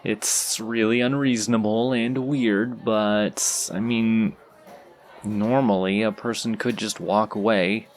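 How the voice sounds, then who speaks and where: A man playing a shy, anxious, sweet young stranger in an audio roleplay, talking hesitantly and self-consciously in a creaky voice straight to the listener. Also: lowish voice, fairly even intonation. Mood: anxious, apologetic. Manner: hesitant, self-conscious, rambling, halting speech.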